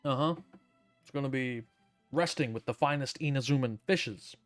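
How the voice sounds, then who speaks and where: A woman streamer giving casual game commentary in a sarcastic, deadpan, sweary way. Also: extremely low pitch, moderate pace, faint music behind.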